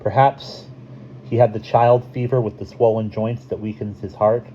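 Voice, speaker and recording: Man as a gentle, sober young healer. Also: on the low side, level tone, moderate pace, voice pushed up, close to an everyday voice. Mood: sober, anxious. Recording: dull lo-fi recording, faint hiss.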